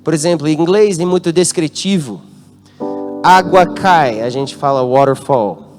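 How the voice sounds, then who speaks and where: A man's voice: on the high side, unhurried, over music. A man from the United States, a native English speaker, preaching a youth-conference sermon with an American accent and drawing the congregation into call-and-response. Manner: interacts with the congregation, asks them to call out answers. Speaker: guest pastor. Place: church hall.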